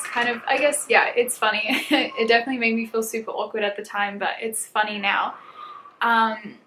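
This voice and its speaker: A woman's voice: on the high side, a bit bright. Chatty young woman, echoey room. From South Africa. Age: 20s. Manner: chatty, laughs often, many "um"s and "like"s. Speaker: YouTuber. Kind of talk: casual get-to-know-me chat.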